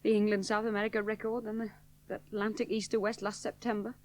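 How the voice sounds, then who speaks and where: An actress playing a determined young woman pilot in a radio drama, earnest and emotional, sometimes soft and hushed. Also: mid-range voice, level tone, fast, natural voice. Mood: strained. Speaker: aviator. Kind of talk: 1970s radio drama acting.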